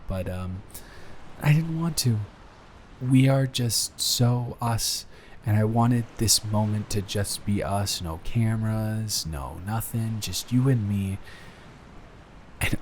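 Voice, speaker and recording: A man playing a sweet, nervous boyfriend in an improvised intimate roleplay audio, speaking in a halting, earnest, tender way. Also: lowish voice, a little mellow, slight background noise, close to an everyday voice. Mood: affectionate, relaxed. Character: sweet, smitten, nervous boyfriend proposing to partner.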